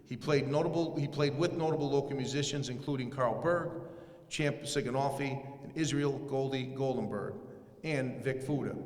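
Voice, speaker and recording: A man at a podium reading a formal proclamation aloud. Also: medium pitch. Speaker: mayor's representative. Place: large hall. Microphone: podium microphone. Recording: echoey hall with long reverb.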